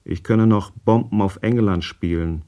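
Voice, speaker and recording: A man reading a first-person literary memoir in a measured way, with deliberate repetitions. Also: on the low side, warm tone, dull audio.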